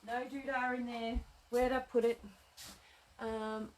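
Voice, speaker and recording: A woman's voice: a little high, level tone, slow, a little hiss or hum, poor audio. Chatty woman sewing on air. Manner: chatty, mutters to herself while working; reads out and answers viewer comments. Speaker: craft shop owner demonstrating sewing. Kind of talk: live-streamed craft demo chat. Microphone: tablet streaming live.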